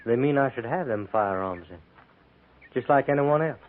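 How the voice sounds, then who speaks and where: A man acting an eager former rebel soldier with a mid-pitched voice. He is stubborn, rustic and insistent, in a vintage radio western with a thin, tinny sound. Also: animated, normal speed, lightly acted. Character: eager, stubborn male ex-Confederate army recruit. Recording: tinny 1950s radio broadcast recording.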